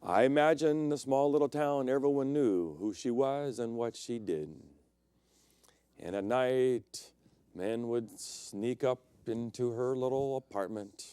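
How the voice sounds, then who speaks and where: A middle-aged man preaches with a smooth, resonant voice, recorded dry with no room sound. He tells personal stories as a preacher would. His delivery rises to loud, emphatic peaks and drops into hushed, low stretches. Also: no echo. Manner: swings between loud emphatic peaks and quiet low passages; storytelling preacher, personal anecdotes. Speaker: pastor. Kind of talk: sermon.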